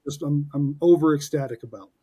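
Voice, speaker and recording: A man's voice: on the high side, brisk, warm tone, breathy edge. A man in his forties co-hosting a podcast, chatting casually and upbeat, ribbing his co-host with playful jokes. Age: 40s. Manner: upbeat and joking, with many fillers and restarts.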